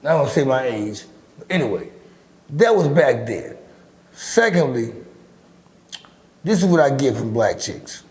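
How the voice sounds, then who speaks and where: A middle-aged man with a deep, raspy voice, talking bluntly and with lots of swearing as an interview guest. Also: measured pace, long pauses. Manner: blunt, profane, animated storytelling. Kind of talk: casual interview talk.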